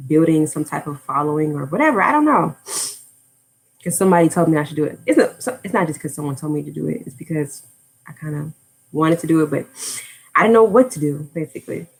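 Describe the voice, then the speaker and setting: A woman's voice: low-pitched, husky, low-quality recording. An American woman chatting casually on a livestream, rambling and drifting off topic with lots of fillers. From United States. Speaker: language-learning YouTuber.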